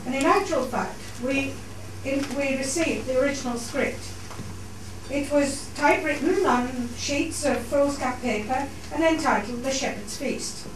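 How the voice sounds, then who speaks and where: High-voiced woman addressing a very echoey hall. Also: unhurried. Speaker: society host introducing a play. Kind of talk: formal welcome address to audience. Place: theatre, on stage. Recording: echoey hall, distant mic, noisy.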